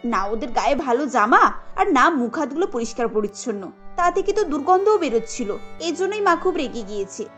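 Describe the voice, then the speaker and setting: A woman's voice: high-pitched, very animated, brisk, fairly bright tone. A woman voicing a spoiled, snobbish young rich daughter in a cartoon, complaining in a disdainful, whiny manner. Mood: disgusted. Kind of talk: cartoon dialogue acting. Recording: light music under the voice.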